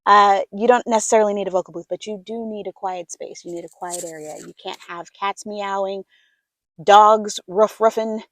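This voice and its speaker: A soft-spoken woman giving casual advice as a voiceover coach, chatty and easygoing. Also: medium pitch, steady tone, normal speed, dry recording. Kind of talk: casual instructional talk to camera.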